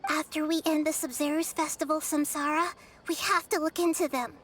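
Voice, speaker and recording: A woman voicing a gentle, wise young girl deity in a video game, speaking in a measured, earnest way. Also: high-pitched, fairly even intonation, background noise, lightly acted. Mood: grave resolve.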